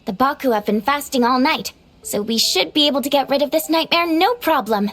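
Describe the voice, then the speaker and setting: A woman voicing a composed young female healer in a video game, speaking in a measured, clinical way. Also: high voice, lively intonation, fairly bright tone. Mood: focused. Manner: measured, matter-of-fact, explanatory.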